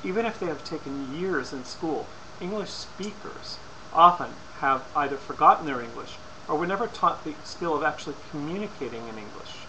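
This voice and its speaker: A man's voice: a little high, lively intonation, moderate pace, sharp tone. Man reading a formal lecture, noisy recording. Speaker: presenter. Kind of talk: scripted persuasive lecture, read aloud. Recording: clearly noisy amateur recording.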